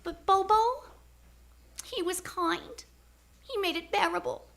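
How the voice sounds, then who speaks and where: Feisty female radio-drama lead, acted by a woman: sharp, piercingly high voice, snappy and expressive. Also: slow pace, vocal fry, full character voice. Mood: wistful, candid. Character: feisty female library cataloger, former circus acrobat. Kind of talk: live radio drama acting. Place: theater stage.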